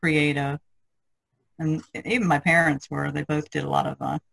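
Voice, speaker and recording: A middle-aged woman with a husky, gravelly voice chatting casually and telling personal anecdotes, quick to laugh. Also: deep voice, steady tone, fairly bright tone, poor audio. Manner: laughs readily. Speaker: artist on a podcast panel. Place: online video conference call.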